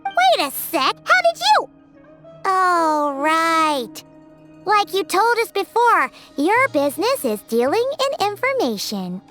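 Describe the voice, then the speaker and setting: A tiny floating girlish companion from a video game, squeaky and childlike. Her voice sits extremely high and leaps around in lively swoops. She chatters eagerly, always excitable. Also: slow pace, faint music behind, exaggerated voice. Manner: bouncy, speaks of herself in third person. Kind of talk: video game dub acting.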